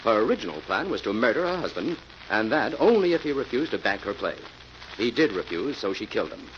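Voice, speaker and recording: Confident crime-fighter, bright forceful voice, deliberate, assured, golden-age radio drama, muffled old recording. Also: male voice, fairly low pitch, big pitch swings. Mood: assured, explaining. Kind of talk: 1940s radio acting. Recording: dull, faintly noisy old radio recording.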